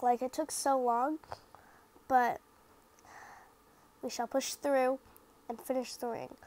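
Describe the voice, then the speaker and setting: A young woman with a high voice talks through her craft project in a loose, rambling voice-over. She is a little stuffy from a cold and keeps doubting her work, filling her sentences with "like" and "um.". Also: steady tone, slow, a little mellow. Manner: rambling, self-critical, lots of "like" and fillers. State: slightly stuffy from the sniffles. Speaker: craft vlogger. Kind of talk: casual voice-over narration of a craft video.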